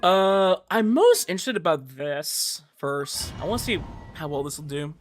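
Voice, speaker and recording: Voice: medium pitch, sing-song, normal speed. Easygoing game streamer over game music. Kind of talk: casual live gameplay commentary. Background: video game music.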